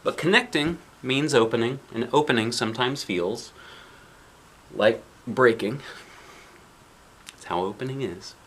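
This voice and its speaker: A man's voice: medium pitch, measured pace, pauses often, fairly bright tone, a little hiss or hum. A man reading a picture book aloud as a dad would, expressively, with casual asides to children and weighty emphasis on key lines.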